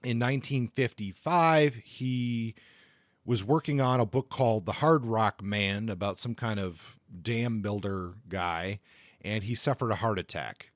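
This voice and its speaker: A man's voice: lowish voice, measured pace, no room sound. Man with a dry, close-sounding recording. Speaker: podcast co-host. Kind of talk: semi-scripted literary biography talk.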